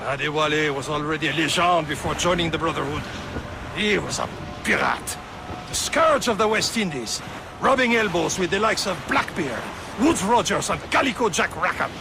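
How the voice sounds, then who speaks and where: An actor playing a haughty, sneering assassin in a video game cutscene, condescending and emphatic. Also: male voice, a little high, lively intonation, moderate pace, creaky, music in the background. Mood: proud, assured. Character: haughty, sneering male assassin of an 18th-century brotherhood.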